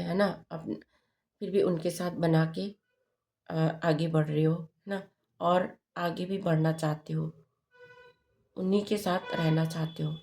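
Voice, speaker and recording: A woman talks through a tarot reading in a low, gravelly voice worn hoarse by a sore throat. She speaks very slowly and haltingly, pausing on fillers and tag questions. She sounds like she is leading viewers through the cards one at a time. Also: darkish tone. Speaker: tarot reader.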